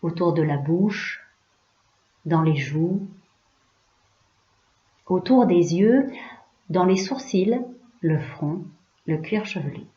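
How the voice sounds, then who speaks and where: Slow, mellow woman guiding relaxation. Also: on the low side, expressive. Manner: hushed, soothing, unhurried instruction with pauses. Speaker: yoga teacher. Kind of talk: guided relaxation meditation. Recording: roomy, slightly dull recording.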